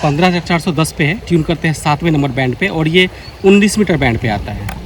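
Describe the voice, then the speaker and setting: A man's voice: a little high, quick pace, noisy background. A man giving a casual, explanatory vlog, speaking directly to viewers outdoors in a village. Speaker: vlogger. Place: outdoors in a village by paddy fields.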